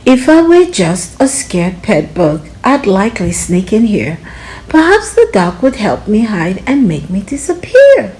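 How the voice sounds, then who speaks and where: Woman playing a measured, helpful storybook maid. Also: medium pitch, sing-song, a little mellow, poor audio. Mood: musing, gentle.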